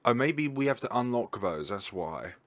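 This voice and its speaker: A young man chatting casually as he plays a story game and thinks aloud with excitement. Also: mid-range voice, moderate pace. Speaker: gaming YouTuber. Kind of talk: casual let's-play commentary.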